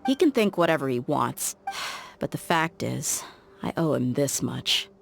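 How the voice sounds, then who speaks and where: A woman's voice: very deep voice, very animated, a little slow, vocal fry, a little hiss or hum, full character voice. An actress playing a tough, resolute young mercenary woman in an anime video game, with a firm, blunt manner. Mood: confident. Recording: faint music behind the voice.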